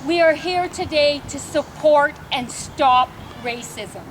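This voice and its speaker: A woman with a naturally loud voice speaking plainly to a protest crowd outdoors. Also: high-pitched, takes it slowly. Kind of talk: public apology at a rally. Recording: crowd noise and traffic.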